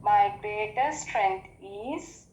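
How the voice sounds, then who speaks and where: A woman's voice: medium pitch, very slow, darkish tone, slight background noise. A woman from Sri Lanka with a Sri Lankan accent, a student who hesitantly repeats each question before she answers it. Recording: dull, echoey call audio.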